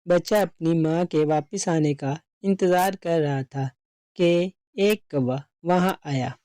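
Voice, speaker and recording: A woman's voice: lowish voice, slow, mellow, dry recording, clean studio sound. A woman narrating a scripted children's story as its storyteller.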